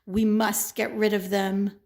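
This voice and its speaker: A woman's voice: mid-range voice, flat delivery, slow pace, darkish tone. A woman voicing a boastful, vengeful male royal advisor in a children's puppet show, pompous and commanding. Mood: scheming, indignant.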